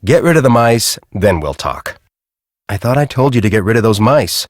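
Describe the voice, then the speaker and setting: Gruff, impatient game boss played by a man, lowish swooping voice, cynical storm-chaser, very dry sound. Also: lively intonation, moderate pace, no room sound, close to an everyday voice. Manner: sarcastic, impatient. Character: gruff, cynical, bossy male storm-chasing team leader. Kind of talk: video game voice acting.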